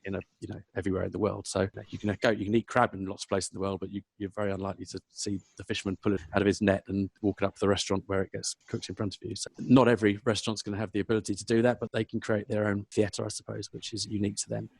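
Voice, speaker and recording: A man from South London speaks with a London accent at a quick clip. His voice sits fairly low and is recorded dry and close. He answers conversationally, often doubling back to rephrase himself. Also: flat delivery, creaky, a little mellow, husky, no room sound. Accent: London English. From South London, England. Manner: hesitant, self-correcting, rambling. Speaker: podcast guest, food business professional.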